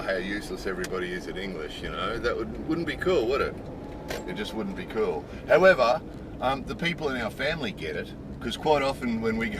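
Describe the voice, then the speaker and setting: A middle-aged man, chatting casually to camera in a rambling way with lots of "you know". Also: fairly low pitch. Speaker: vlogger living in Japan. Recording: outdoor street noise.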